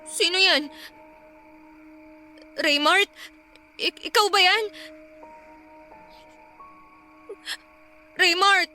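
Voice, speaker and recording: A nervous young woman alone in the dark, her very high, bright voice hushed and edgy, turning sharp and defiant. It sounds like a radio drama, with soft music underneath. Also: slow pace, heavily acted. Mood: scared, hushed. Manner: hushed and jumpy, then defiant shouting.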